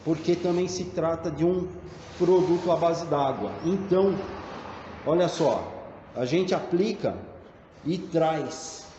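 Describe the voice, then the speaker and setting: Slow-talking Brazilian man in an echoey room. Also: on the high side, mellow. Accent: Brazilian Portuguese. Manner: deliberate, explanatory, step by step. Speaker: tradesman demonstrating door painting. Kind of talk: hands-on tutorial narration. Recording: dull, echoey, reverberant room with background noise.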